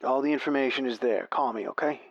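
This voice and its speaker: An actor playing a genial older rodeo radio announcer with a folksy, theatrical, persuasive way of talking. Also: male voice, mid-range voice, fast, no room sound, highs cut off, lightly acted. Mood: earnest. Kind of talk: radio play acting.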